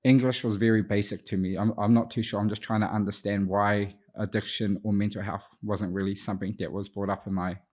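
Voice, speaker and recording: A man's voice: fairly low pitch, little pitch movement, fairly quick, few pauses, darkish tone. A man in his thirties with a New Zealand accent who grew up speaking Te Reo Māori, reflectively telling his personal story as an interview guest, with some hesitation. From Auckland, New Zealand. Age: 30s. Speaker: podcast guest, addiction counsellor.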